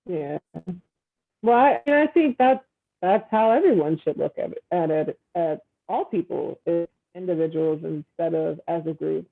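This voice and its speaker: Woman on a video call, gravelly and earnest, thinking aloud with fillers, thin phone-line sound. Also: on the low side, normal speed. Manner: earnest, reflective, many fillers. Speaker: dating guest. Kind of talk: casual video-call conversation.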